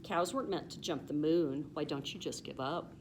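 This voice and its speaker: A woman's voice: sits low, moderate pace, a little mellow, a little hiss or hum, pitched below the performer's own voice, lightly acted. A woman voicing a doubting, scoffing female barnyard cow from a children's storybook, with dismissive, emphatic retorts.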